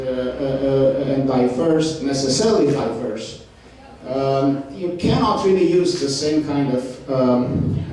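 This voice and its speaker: A man speaking on a discussion panel, halting, with many fillers and restarts. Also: mid-range voice, dark tone. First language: Romanian. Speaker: panel participant. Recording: echoey hall, distant mic, faint noise.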